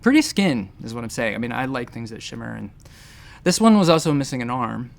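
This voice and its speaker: An actor playing an anxious, wry space station doctor who rambles and halts in a sci-fi audio drama. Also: male voice, medium pitch, big pitch swings, gravelly. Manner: rambling, halting, self-interrupting. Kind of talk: audio drama acting, conversational narration.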